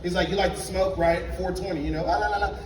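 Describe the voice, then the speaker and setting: A young man from Liberia performing a stand-up comedy set in a club, telling animated stories and acting out both sides of the dialogue. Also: high-pitched, quick pace, background noise. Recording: echoey club sound from a distance. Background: audience laughter.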